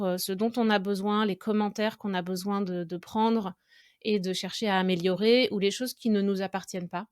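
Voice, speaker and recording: A woman's voice: medium pitch, darkish tone, no room sound, clean studio sound. A French woman hosting an educational podcast, talking to the listener directly in a reflective, conversational way. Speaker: podcast host and French teacher.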